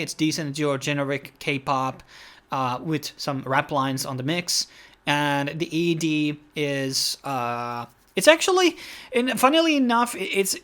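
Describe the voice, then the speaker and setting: A man's voice: a little high, a bit bright. Rambling man reviewing anime. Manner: rambling, heavy on fillers like "like" and "um". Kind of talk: casual video review.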